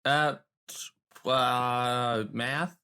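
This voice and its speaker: A man's voice: mid-range voice, steady tone, drawn-out words, sharp tone, clear, resonant tone. A man with a British accent chatting casually as a player in a tabletop game.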